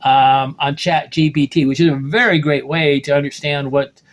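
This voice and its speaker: A man's voice: a little high, fairly even intonation, moderate pace. A man in his late sixties from the United States, talking through a rambling personal video monologue, often restarting sentences and checking in with "okay?". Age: 60s. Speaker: video blogger.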